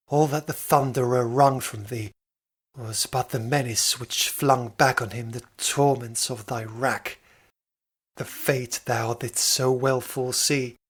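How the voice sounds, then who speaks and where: A man reads verse aloud at a slow, deliberate pace. His voice is mid-pitched and husky, with a grainy edge. He phrases each line solemnly, as a formal dramatic recitation. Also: a bit bright. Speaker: poetry reader.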